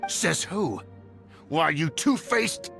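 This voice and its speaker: A man's voice: a little high, expressive, slow, gravelly, pushed and bright, full character voice. A man playing a scheming, cowardly older clan official in a video game, obsequious and easily flustered. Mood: indignant. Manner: flustered, wheedling, indignant. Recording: game music behind the voice.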